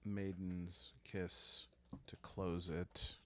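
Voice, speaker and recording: Male solo RPG host, ASMR storytelling: deep, unhurried, calm, musing, hesitant. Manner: hesitant, musing, thinking aloud. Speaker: solo roleplaying game host.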